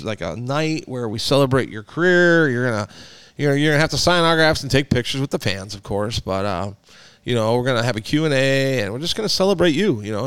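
A man's voice: medium pitch, a bit bright, clean tone, no echo. A man in his forties hosting a podcast, rambling in casual chat with fillers and restarts. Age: 40s. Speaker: podcast host.

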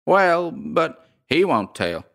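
A man voicing a coolly unbothered landlady of a haunted boarding house, with a metallic voice and a slow folksy drawl. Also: medium pitch, animated, a bit bright.

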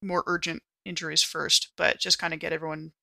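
A woman with a bright, forceful voice and plenty of fry plays a tabletop game. She talks casually in a dry-sounding recording, restarting and repeating words as she improvises. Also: low voice, level tone, brisk, no echo. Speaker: player in a tabletop RPG podcast.

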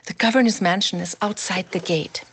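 A woman's voice: medium pitch, brisk, fairly bright tone, slight background noise, natural voice. A woman voicing a tense young female scout who talks in urgent whispers, in a read-aloud story. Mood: hushed.

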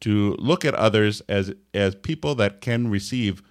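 Low-pitched guy hosting a faith podcast, kinda halting, trips over his words. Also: smooth. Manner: halting, many fillers and restarts. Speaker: podcast host. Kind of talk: casual religious podcast chat.

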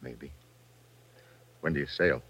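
An actor playing a wry, tough American adventurer, slangy and clipped, in a 1950s radio adventure style. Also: male voice, rumbling low, slow pace, fairly bright tone, slightly rough, dull audio, heavily acted. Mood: relaxed, flirtatious.

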